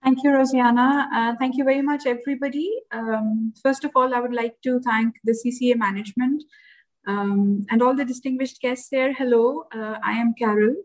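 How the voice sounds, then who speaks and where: A woman from Pakistan opens a presentation over a video call. She sounds friendly and a little high-pitched, and she fills her pauses with many small hesitations. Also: steady tone. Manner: friendly, uses many fillers. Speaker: conference speaker. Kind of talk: conference presentation.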